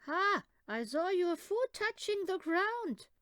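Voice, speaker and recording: Lady putting on a cheeky little bird kid, super high, braggy. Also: big pitch swings, takes it slowly, a bit bright, dry recording, studio quality, heavily acted. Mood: mocking. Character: boastful little baby bird and teasing brother.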